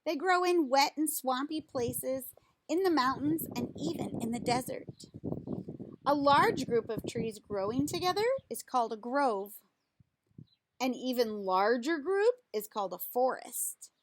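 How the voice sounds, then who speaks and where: High-pitched woman reading slowly to children. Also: expressive, noisy background. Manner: deliberate, instructive, question-and-answer delivery. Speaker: teacher reading a picture book aloud to children. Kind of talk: read-aloud storybook narration with questions to young listeners.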